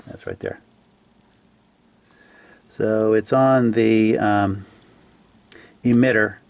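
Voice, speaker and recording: A man giving a casual, unscripted walkthrough of a repair, speaking haltingly as he thinks aloud and trails off mid-phrase. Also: fairly low pitch, gravelly, a little mellow. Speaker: hobbyist repairer presenting his own fix.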